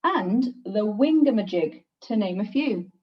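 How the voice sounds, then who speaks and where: Lively woman reading a storybook, slightly distant. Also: mid-range voice. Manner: expressive, deliberate storytelling for young children. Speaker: teacher reading a children's story aloud. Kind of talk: scripted picture-book narration. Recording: distant, slightly dull microphone.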